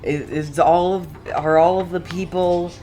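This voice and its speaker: A woman's voice: fairly low pitch, steady tone, unhurried, warm tone, faint music behind. A woman giving casual gameplay commentary as a streamer, rambling and questioning herself as she goes. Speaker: game streamer. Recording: clearly noisy background.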